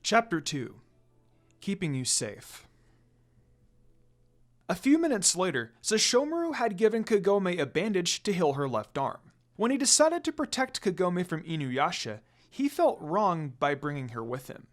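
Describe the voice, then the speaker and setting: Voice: medium pitch, sing-song, a little slow. A narrator reading a scripted fan fiction story aloud.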